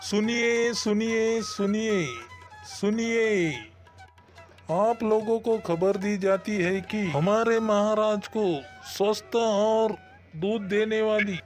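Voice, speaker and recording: A man voicing a formal town crier making a measured proclamation in a cartoon story. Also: high-pitched, little pitch movement, soft background music. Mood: proclaiming.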